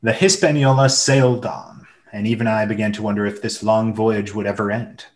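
A man's voice: lowish voice, fairly even intonation, fairly quick, a little hiss or hum, natural voice. A man playing a storyteller who recounts a seafaring boyhood in scripted radio play narration. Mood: recounting.

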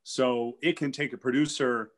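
A man's voice: medium pitch, steady tone, moderate pace, a bit bright. A man in his forties, a winery sales director, talking casually and explaining things with fillers over a video call from a room at home. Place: home room with shelves, indoors. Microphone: video call webcam.

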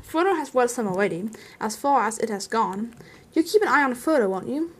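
A woman's voice: a little high, expressive, fairly quick, husky. Woman voicing a stubborn old hobbit, huskily emphatic. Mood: weary, wistful. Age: older. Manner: emphatic, testy, defensive. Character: elderly male hobbit, stubborn, touchy and possessive.

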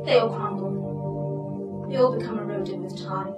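A woman's voice: medium pitch, steady tone, moderate pace, natural voice. A young woman on stage playing a bitter, controlling director, speaking in an imperious, emphatic theatrical way. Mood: cold, possessive. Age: young adult. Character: controlling, bitter female director toying with her characters. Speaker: stage actor. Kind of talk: theatrical stage acting, heated dialogue. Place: dark theatre stage, indoors. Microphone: far camera. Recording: echoey stage, distant camera microphone, music behind.

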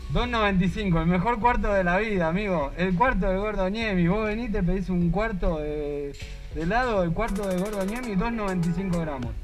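Chatty Argentine man with a high voice. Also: brisk, soft background music. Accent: Rioplatense Spanish. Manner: joking, chatty and upbeat. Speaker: motovlogger host. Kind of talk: casual vlog chat. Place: street and small ice cream shop. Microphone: helmet-mounted action camera.

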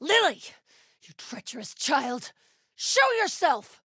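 A fierce female cult warrior from a video game. Her voice is very high and bright, with slow, weighty delivery. She commands and accuses with a zealot's force. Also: big pitch swings, dry recording, clean studio sound, heavily acted. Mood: vengeful, accusing. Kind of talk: video game combat barks.

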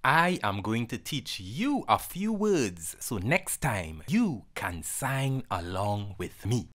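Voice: mid-range voice, very animated, slow pace, a bit bright. A presenter teaching sign words to children in simple, short instructional phrases. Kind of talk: sign language lesson narration for children.

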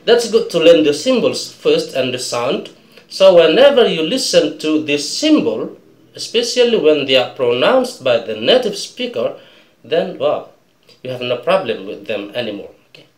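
Slow Indonesian-accented man teaching English. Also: a little high, roomy sound. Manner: drills words slowly, keeps asking "okay?". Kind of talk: slow pronunciation lesson. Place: small indoor room before a colored backdrop.

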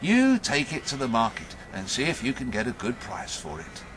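Guy as old wife in a folktale, soothing and gentle, lilting delivery, bit of noise. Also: medium pitch, wildly swooping pitch, normal speed. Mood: coaxing.

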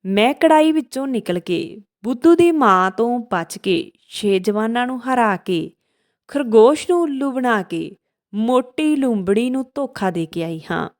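Woman voicing a cheeky high-voiced runaway fried bread. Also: lively intonation, a little slow, darkish tone. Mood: boastful. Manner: taunting, boastful.

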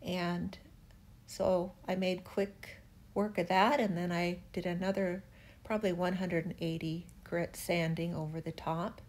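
An older woman narrating her furniture restoration in a relaxed, chatty way, gently enthusiastic about the wood. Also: fairly low pitch, unhurried. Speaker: furniture refinisher.

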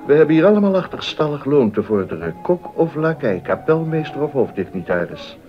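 An actor playing a gossipy, sardonic court musician and tutor in a period docudrama, confiding and articulate. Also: male voice, fairly high pitch, very animated, a little fast. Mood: dryly resigned. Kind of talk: Dutch-language period docudrama acting. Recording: dull, muffled audio.

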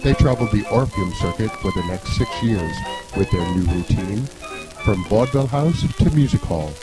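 A man's voice: medium pitch, wildly swooping pitch, moderate pace, over music, rough recording. A man reading scripted mock-documentary narration in a formal, grandiose storytelling manner.

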